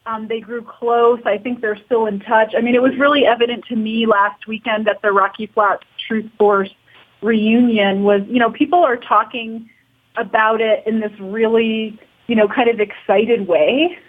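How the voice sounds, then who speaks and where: A woman's voice: on the high side, fairly even intonation, normal speed, narrow, tinny audio. A woman being interviewed as a documentary producer, talking informally and loosely as she works through her thoughts.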